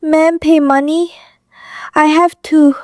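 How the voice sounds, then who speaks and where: An actress playing a frightened, guarded young woman with a thick foreign accent, speaking halting broken English in an audio drama. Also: high-pitched, level tone, dry recording. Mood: resigned, distressed. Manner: halting, broken English in short clipped phrases.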